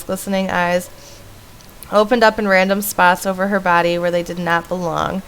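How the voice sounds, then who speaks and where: A woman's voice: fairly low pitch, fairly bright tone, slight background noise, low-quality recording. Woman streamer reading a horror game aloud. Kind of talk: reading a horror visual novel aloud with casual asides. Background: faint music.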